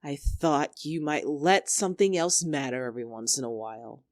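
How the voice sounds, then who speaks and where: A woman's voice: low voice, expressive, moderate pace, no room sound, studio quality. A woman playing a domineering, scornful young female squad leader in a dramatic argument, biting, sarcastic and commanding. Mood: bitter. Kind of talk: dramatic two-character argument performance.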